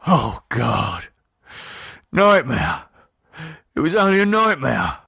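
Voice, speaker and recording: Guy doing a worried fella, bright edgy tone, rough gravelly voice, stammery. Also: mid-range voice, huge pitch swings, no room sound, studio quality, pitched above the performer's own voice, exaggerated voice. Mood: shaken. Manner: nervous, halting, pleading. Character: anxious working-class English husband.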